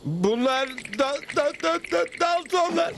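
A man voicing an excitable, stammering Old West character in a cartoon dub. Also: very high-pitched, unhurried, vocal fry, exaggerated voice. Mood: alarmed. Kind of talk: Turkish-dubbed cartoon acting.

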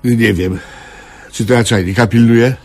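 A man's voice: mid-range voice, steady tone, moderate pace, darkish tone, close to an everyday voice. An actor playing an idle, obtuse, stubbornly argumentative man in a comedic radio play, with a blunt, ponderous, mock-innocent way of talking. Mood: dull, unbothered.

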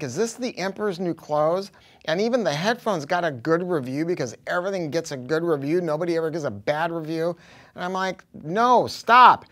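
An American man in his sixties presenting audio gear to camera in a blunt, casual, emphatic way. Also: fairly high pitch. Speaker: audio dealer presenting products. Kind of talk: casual promotional product talk to camera. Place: small acoustically treated listening room.

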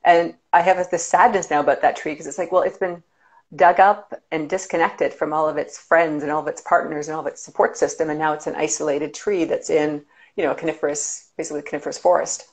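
Middle-aged woman host, low voice, friendly, on a video call. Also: fairly even intonation, fast. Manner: friendly, encouraging listener with frequent affirmations. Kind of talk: casual video-call conversation. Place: indoor room with paintings. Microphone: video call webcam.

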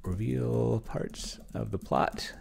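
A middle-aged man giving casual think-aloud screencast commentary, halting and muttering as he types. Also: mid-range voice, vocal fry, darkish tone, slight background noise. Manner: halting, mutters while typing. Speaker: host of a solo roleplaying experiment vlog. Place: small indoor room with bookshelves.

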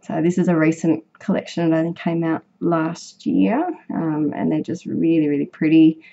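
A young woman talks through her scrapbooking in a low, croaky, husky voice, worn rough by illness. Her tone is warm and mellow, and she rambles with fillers and corrects herself as she goes. The recording is dull and lo-fi. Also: little pitch movement, normal speed. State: croaky, sick voice. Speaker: scrapbooking vlogger. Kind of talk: casual voice-over narration of a craft process.

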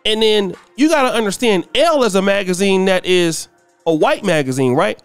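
A middle-aged man hosting a commentary channel, giving a blunt, preachy opinion monologue that climbs in pitch when he gets worked up. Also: expressive, normal speed, clear, resonant tone, dry recording, faint music behind.

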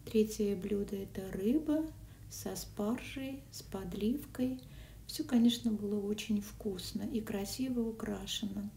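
A woman narrates a casual food and travel vlog, her mellow voice now and then dropping to a whisper. She is caught on a phone a little way off, with a roomy echo and faint background noise. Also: medium pitch, measured pace, gravelly. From Russian-speaking country. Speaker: vlogger. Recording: echoey, from a distance, faint noise.

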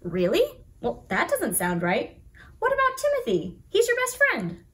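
A woman's voice: very high-pitched, wildly swooping pitch, unhurried, fairly bright tone. A woman in her thirties hosting a children's puppet show, speaking to young children in an encouraging, sing-song way.

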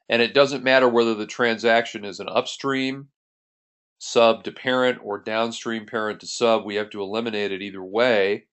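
A man's voice: lowish voice, a bit bright. A man working as an accounting instructor, narrating a tutorial in a methodical, step-by-step way. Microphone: voice-over on a screen recording.

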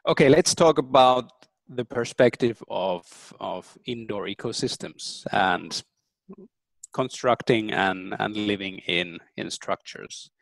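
A man in his thirties, a native German speaker, giving earnest, thoughtful interview answers with frequent pauses and ums. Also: fairly low pitch, creaky, dry recording, low-quality recording. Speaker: interview guest.

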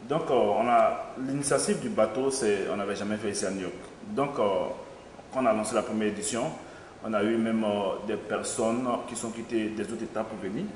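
A man's voice: lowish voice, normal speed. A young man, an event organizer, answering interview questions hesitantly with many fillers. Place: small studio with logo backdrop. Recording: echoey studio room, faint noise.

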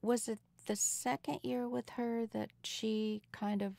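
Gravelly older woman, attentive listener. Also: fairly high pitch, flat delivery, unhurried, warm tone, dry recording. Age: 60s. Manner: attentive, encouraging prompts. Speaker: former teacher, interviewer. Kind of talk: personal conversation.

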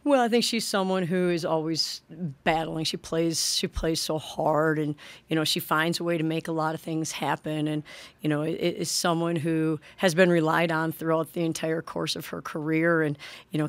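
A woman in her forties, a head basketball coach, answering questions at a postgame press conference in a measured way, often restarting her sentences. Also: deep voice, level tone. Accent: American. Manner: measured, frequent restarts and "you know". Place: press room in front of a sponsor backdrop. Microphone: desk microphone on a stand.

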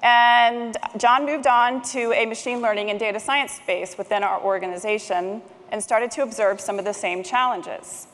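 A middle-aged woman speaks from a conference stage in a fairly high voice. She delivers a prepared presentation, and the big hall adds a long reverb around her words. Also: fairly even intonation, moderate pace, few pauses, fairly bright tone. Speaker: conference speaker, technology manager. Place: large conference stage, indoors. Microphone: headset microphone.

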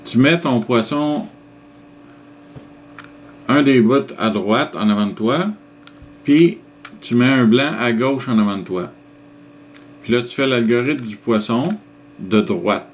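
A man's voice: medium pitch, slow, long pauses, slight background noise. Québécois man chatting casually to his viewers. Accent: Québécois French. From Quebec, Canada. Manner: relaxed, chuckling, explains step by step. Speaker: vlogger answering viewer comments. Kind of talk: casual chat reading out comments.

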